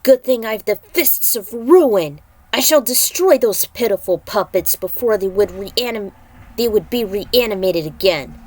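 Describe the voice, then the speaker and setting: A woman voicing a confident male monk warrior, boastful and forceful, in a story reading. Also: mid-range voice, lively intonation, a little slow, low-quality recording.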